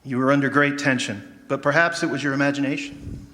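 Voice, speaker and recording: An actor playing a kindly, calm, mysterious doctor in a live radio drama, speaking in a gentle, formal, reassuring way. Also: male voice, mid-range voice, fairly quick, lightly acted. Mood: warm, reassuring. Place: live performance in a campus hall. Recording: echoey hall, music behind.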